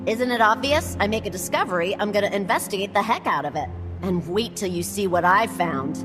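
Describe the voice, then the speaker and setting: A woman playing an eager, impatient researcher in a video game, brisk and full of curiosity. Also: mid-range voice, music in the background, lightly acted. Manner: brisk, excitable, inquisitive. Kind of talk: scripted video game dialogue.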